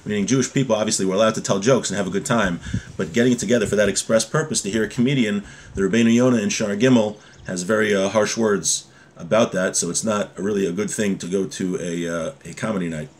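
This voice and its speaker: A man's voice: lowish voice, steady tone, fast talker, slight background noise. A man around forty giving an informal religious lesson in a conversational way, reading Hebrew phrases and explaining them with everyday examples, recorded at a desk in a home room. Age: 30s to 40s. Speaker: religious teacher. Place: small home room, indoors. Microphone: webcam or device mic at desk distance.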